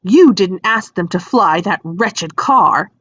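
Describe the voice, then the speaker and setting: A woman's voice: mid-range voice, lively intonation, slow pace, a bit bright, no room sound, lo-fi sound. A woman voicing a bustling mother witch in a storybook, fussing and bossy but fond.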